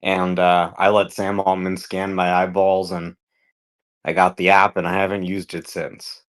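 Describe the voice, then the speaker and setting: A man with a fairly low voice talks as a guest on a panel. His style is casual and explanatory, laying ideas out in plain terms and posing rhetorical questions. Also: monotone, measured pace.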